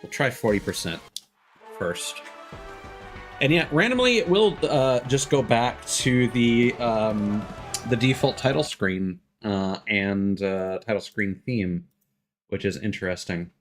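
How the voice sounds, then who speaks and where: A man talking casually as a live streamer, halting and hesitant as he thinks aloud. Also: fairly low pitch, lively intonation, soft background music. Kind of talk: casual livestream chat.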